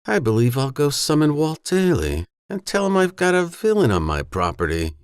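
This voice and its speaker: A man's voice: fairly high pitch, huge pitch swings, normal speed, clear, resonant tone, no room sound, clean studio sound, voice pushed up. A man playing a stubborn old grandfather in an audiobook, curt, protective and defiant.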